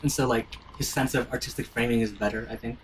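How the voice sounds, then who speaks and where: A man's voice: medium pitch, fairly even intonation, fast, far from the mic. Casting: young male gamer, casual and opinionated explainer, recorded off-mic in a noisy room. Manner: opinionated, often tags phrases with "right?". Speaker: game commentator guiding friends. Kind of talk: casual gameplay commentary chat.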